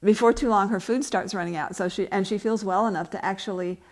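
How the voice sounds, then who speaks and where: A woman's voice: medium pitch, fairly even intonation, quick pace. A woman novelist speaking at a book event, reading from her work and answering questions.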